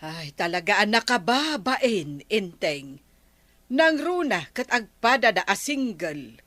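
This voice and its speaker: A woman's voice: mid-range voice, very animated, slow pace, fairly bright tone, no room sound, full character voice. A woman playing a concerned, tactfully reproachful village wife in an Ilocano radio comedy drama. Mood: dismayed.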